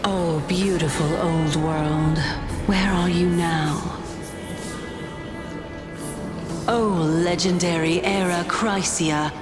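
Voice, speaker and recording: A haughty sage in a dramatic video game, speaking slowly in a slightly low voice. She is proud, dry and solemn, with music clearly behind her. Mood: solemn chanting. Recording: game dialogue over prominent music.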